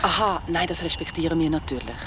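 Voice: medium pitch, animated, fast talker, background noise, natural voice. An actor with a Swiss accent playing a young, easygoing, curious friend in an audio drama. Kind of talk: naturalistic audio drama acting.

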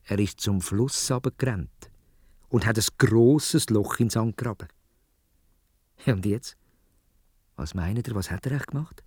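A man's voice: fairly low pitch, unhurried, long pauses, a little mellow, husky, no room sound, clean studio sound. A man with a Swiss German accent telling a story for children in a dramatic way, addressing his listeners directly.

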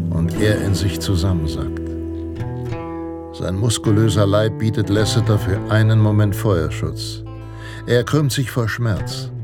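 An actor playing a solemn, grandiose narrator of pulp Western adventures in a radio play, telling it with suspense. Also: male voice, lowish voice, lightly acted. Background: guitar music.

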